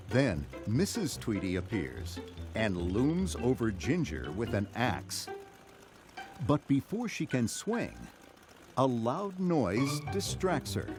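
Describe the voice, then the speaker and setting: A man narrating a children's read-along story in a dramatic, measured storytelling manner. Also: medium pitch. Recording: music behind the narration.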